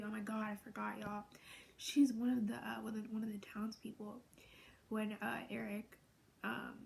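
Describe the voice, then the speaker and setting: Teen girl fan vlogger, soft half-whisper, gravelly fry, flat gushing delivery, noisy recording. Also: mid-range voice. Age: late teens. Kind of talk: casual fan vlog.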